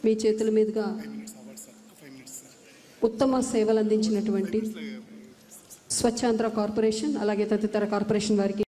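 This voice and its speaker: A woman's voice: medium pitch, steady tone, normal speed, pauses often, mellow. A woman making formal stage announcements as the event's announcer. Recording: echoey hall with long reverb.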